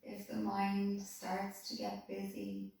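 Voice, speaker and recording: A woman calmly leading a guided body-scan meditation, giving soothing, unhurried instructions. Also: medium pitch, creaky. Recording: very echoey room, voice distant from mic.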